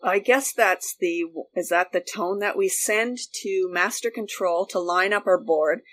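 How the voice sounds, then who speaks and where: A middle-aged woman, a guest reminiscing casually, explaining things in animated, repeating loops. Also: mid-range voice, a little slow. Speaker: guest, former radio studio technician.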